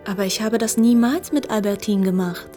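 A woman playing a guarded young woman in a literary radio drama. Her voice is medium in pitch, with clearly audible music underneath. She speaks formally and articulately, holding firm and defensive. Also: a little fast, dark tone, natural voice.

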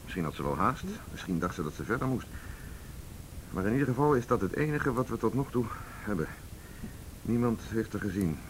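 An actor playing a brusque, impatient police commissioner in a radio drama, with a sardonic, informal manner. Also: male voice, expressive, a little fast, natural voice. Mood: matter-of-fact. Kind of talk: Dutch radio drama acting. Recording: rumbling, noisy old radio drama recording.

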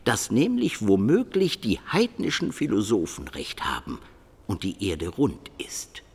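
A learned man of the Middle Ages in a radio drama. His voice is deep and slightly husky, with extremely animated swings of pitch. A long reverb surrounds it. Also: a little slow, heavily acted. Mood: musing.

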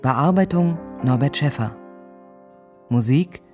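Measured radio drama announcer, slow delivery, warm dark tone, sober. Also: male voice, mid-range voice, expressive. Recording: music behind the voice.

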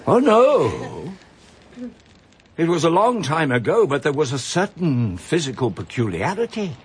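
An actor playing an elderly male judge in a radio drama, speaking in a measured, precise, ominous way. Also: on the high side, big pitch swings, husky, exaggerated voice. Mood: grave. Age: older. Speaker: retired judge.

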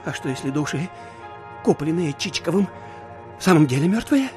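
An actor playing a flustered, excitable and blustering provincial court official in a theatrical audio play. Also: male voice, a little high, a little fast, soft background music, lightly acted. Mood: panicky. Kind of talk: theatrical radio play acting.

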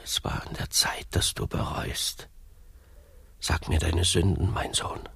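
Husky, whispering, scheming father confessor. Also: low-pitched, level tone, takes it slowly, a bit bright, exaggerated voice. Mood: stern, probing. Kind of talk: satirical German radio play acting.

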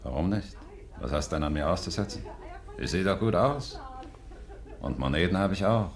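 An actor playing a boastful, pushy small-time crook in a 1950s German radio play, speaking with cocky insistence. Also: male voice, low-pitched, expressive, moderate pace. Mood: smooth, flattering.

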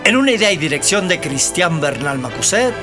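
Mexican radio announcer over clear music. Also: medium pitch, big pitch swings, brisk. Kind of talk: scripted radio promo.

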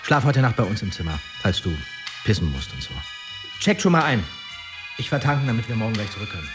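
An actor playing a laconic young man with a very husky, breathy voice and a dry, colloquial way of talking in a radio drama. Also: fairly low pitch, sing-song, fast, music in the background. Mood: casual, practical.

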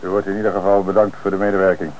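A measured, authoritative police commissioner with a lowish voice, as an actor plays him in an old radio play. A faint hiss runs under the old broadcast. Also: male voice, level tone, darkish tone, close to an everyday voice. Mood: calm. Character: middle-aged male police commissioner, calm and dry. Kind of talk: 1960s radio play acting. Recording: noisy old radio recording.

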